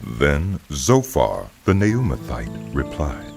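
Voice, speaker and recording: A man's voice: very deep voice, big pitch swings, takes it slowly, gravelly, darkish tone, breathy edge, faint music behind, heavily acted. A man narrating dramatized scripture in a solemn, scripted manner.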